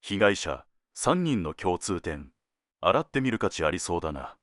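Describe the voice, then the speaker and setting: A man playing a world-weary senior detective in an audio drama, laconic and deliberate, given to dry teasing jokes. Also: low-pitched, big pitch swings, fairly bright tone, no echo, full character voice. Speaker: police detective at headquarters.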